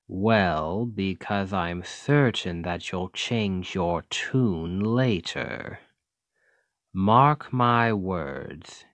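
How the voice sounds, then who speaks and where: A man voicing a smug, domineering demon king in an ASMR roleplay, speaking in a deliberate, condescending and coaxing way. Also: sits low, dry recording, exaggerated voice. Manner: slow, deliberate, condescending, seductive menace. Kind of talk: scripted ASMR roleplay monologue.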